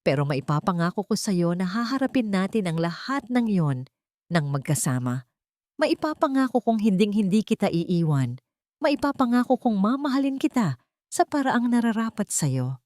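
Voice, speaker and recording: A woman voicing a devoted, tender man in a storybook romance, speaking in an earnest, emotional, measured way. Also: medium pitch, sing-song, darkish tone, no room sound, studio quality, voice pushed up, lightly acted. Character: devoted, tender man proposing to his beloved.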